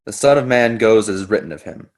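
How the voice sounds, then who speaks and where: A man's voice: fairly low pitch, expressive, quick pace, a little mellow. A man playing a solemn teacher who foretells his death, in a readers theater scripture reading.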